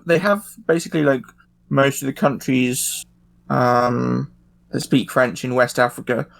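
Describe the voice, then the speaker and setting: A man on a casual podcast panel, explaining things in a halting, tentative way. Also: mid-range voice, gravelly. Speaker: discussion panelist.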